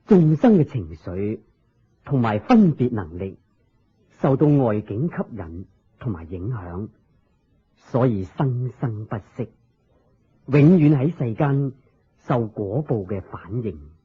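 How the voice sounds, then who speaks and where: An actor playing a serene, wise Buddha in a Cantonese radio drama, teaching in measured phrases with a husky voice and a Hong Kong accent. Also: male voice, lowish voice, very animated, full character voice. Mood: calm, teaching. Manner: measured, sermon-like explaining in short phrases. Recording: dull lo-fi radio drama recording.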